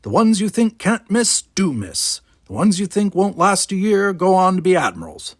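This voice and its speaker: A man's voice: high voice, sing-song, normal speed, no echo, clean studio sound, voice pushed up. A man voicing a grumpy, nosy little old male gardener, with a blunt, barking and emphatic delivery. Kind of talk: children's novel read-aloud character voice.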